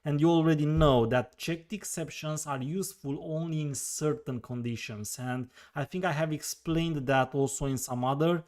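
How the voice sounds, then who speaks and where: A man's voice: a little high, normal speed, hardly any pauses, a little mellow. A man with a Romanian accent hosting a live question-and-answer session, thinking aloud with frequent fillers and repeated words. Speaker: host answering viewer questions.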